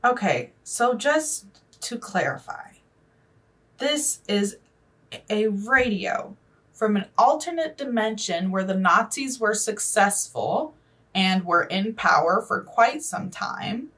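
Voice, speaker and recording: A woman's voice: medium pitch, lively intonation, takes it slowly, fairly bright tone, recorded from a distance. A woman giving casual, off-the-cuff reaction commentary, thinking aloud informally. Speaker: reaction video host.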